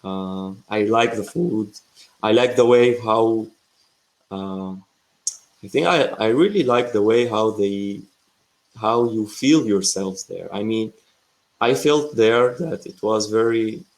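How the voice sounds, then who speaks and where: A man's voice: lowish voice, slow pace, rough recording. A young man from Syria, speaking English, who chats hesitantly on a video call with many fillers and restarts. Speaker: English learner. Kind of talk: casual video chat.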